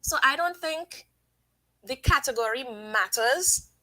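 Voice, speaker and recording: A woman hosting a casual live stream, giving her opinions and reading viewers' comments aloud in a chatty, thinking-out-loud way. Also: sits high, lively intonation, slow pace, no room sound. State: sniffly from sinus trouble. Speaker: livestream host.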